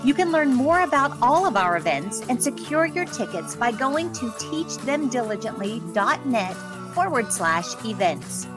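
A woman's voice: fairly high pitch, big pitch swings, normal speed, over music. A woman speaking as a podcast host, introducing the show and interviewing a guest.

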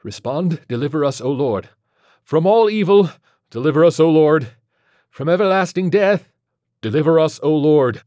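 Audiobook priest, played by a male narrator: steadfast exorcist, solemn declamation, raised pitch, dry close sound. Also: lively intonation, measured pace, no room sound. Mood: fervent praying. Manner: solemn, declamatory prayer and rebuke.

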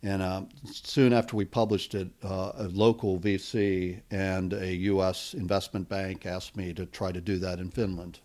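A middle-aged man, a venture capitalist guest, answering interview questions in a relaxed, rambling way with many fillers. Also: low voice, moderate pace, hardly any pauses. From United States.

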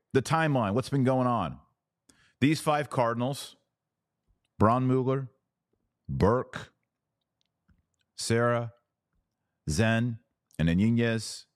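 A man's voice: on the low side, no echo, clean studio sound. A man hosting a podcast, giving conversational religious commentary in an emphatic, colloquial, explanatory manner. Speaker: podcast host.

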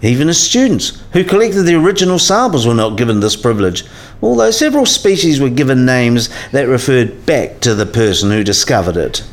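Man acting a measured, learned professor. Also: mid-range voice, very animated. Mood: measured, instructive. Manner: measured, didactic, precise. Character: learned male professor patiently explaining science history. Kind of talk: scripted explanatory dialogue in a radio play.

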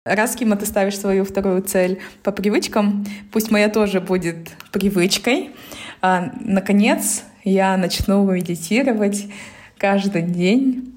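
A lively woman co-hosting a relaxed podcast chat. She speaks at an ordinary middle pitch and sounds decisive, and she is quick to laugh. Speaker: podcast co-host.

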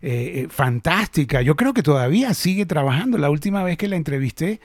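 Venezuelan guy hosting, kinda high voice, dry close mic, gushes and restarts a lot. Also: fairly quick, dry recording. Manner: animated, gushing, many restarts. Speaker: host. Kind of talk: casual industry chat.

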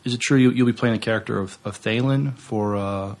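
A man's voice: lowish voice, a little fast. A man co-hosting a podcast interview, asking questions in a casual, chatty way.

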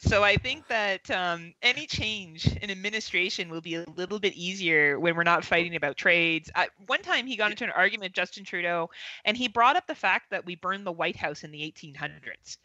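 Canadian woman guest, chatty, laughs a lot, bright lively voice. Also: on the low side, brisk, dry recording, muffled recording. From Ontario, Canada. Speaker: podcast guest. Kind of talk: casual chat.

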